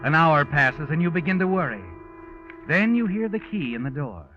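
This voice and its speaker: An ominous American male actor as a radio mystery narrator speaks in a fairly high man's voice, insinuating and knowing. He addresses the listener like an accomplice in an old 1940s drama. The sound is dull vintage radio with clear music underneath. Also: animated, moderate pace, natural voice.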